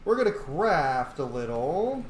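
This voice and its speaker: A man's voice: fairly high pitch, sing-song, a little slow. Man thinking aloud in a roomy space. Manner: thinks aloud, mutters choices to self. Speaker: video game streamer. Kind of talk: casual let's-play commentary. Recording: roomy echo, faint background noise.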